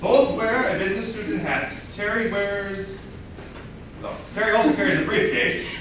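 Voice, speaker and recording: A man's voice: high voice, moderate pace, a bit bright. A man reading stage directions as scripted narration at a play reading. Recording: very echoey room, distant mic, faint noise.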